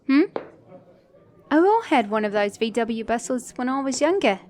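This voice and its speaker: A woman's voice: on the high side, lively intonation, a little slow, a little mellow. A woman voicing a flirty, sassy, caring mechanic in an intimate ASMR romance roleplay, teasing the listener as her date. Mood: nostalgic, chatty. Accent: British or Australian.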